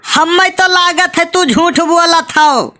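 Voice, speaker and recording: A nagging village creditor acted by a woman in a comic cartoon, suspicious and sarcastic with an Awadhi accent. The voice is high and forceful, the words slow and insistent. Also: exaggerated voice. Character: suspicious, nagging village moneylender demanding repayment. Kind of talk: exaggerated comic cartoon acting.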